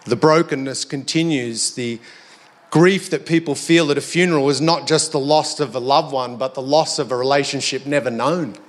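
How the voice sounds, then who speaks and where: An Australian man giving a sermon in a conversational, reflective way, his voice a little hoarse and croaky. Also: mid-range voice, fairly even intonation, normal speed. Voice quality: slightly croaky. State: slightly hoarse. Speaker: preacher. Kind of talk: sermon to a congregation.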